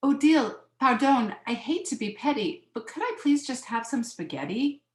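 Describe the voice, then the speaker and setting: A woman's voice: high-pitched, animated, moderate pace, echoey room, voice pushed up. A woman voicing a petulant, dramatic little girl in a children's storybook, with a pleading manner. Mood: polite, pleading.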